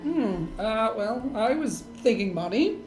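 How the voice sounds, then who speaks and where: Woman playing a slow, deadpan hardboiled male detective. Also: a little high. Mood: dry. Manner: deadpan, dry, measured. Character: wry, weary male hardboiled hotel detective. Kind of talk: noir parody dialogue and narration. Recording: roomy echo, faint music underneath.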